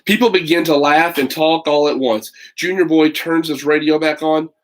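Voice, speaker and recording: A man's voice: on the high side, normal speed. A man reading a children's picture book aloud, breaking off for casual, folksy asides to his listeners. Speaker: read-aloud storyteller.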